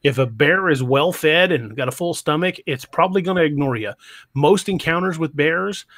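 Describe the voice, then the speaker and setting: A man's voice: fairly high pitch, normal speed, fairly bright tone, dry recording, studio quality. A middle-aged man, hosting a casual livestream podcast, often restarting his sentences. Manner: stammering restarts, chatty and joking. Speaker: podcast host. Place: home room.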